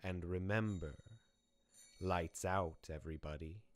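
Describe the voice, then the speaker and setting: An actor playing a solemn, ominous announcer introducing an old-time horror radio show. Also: male voice, low voice, a little slow.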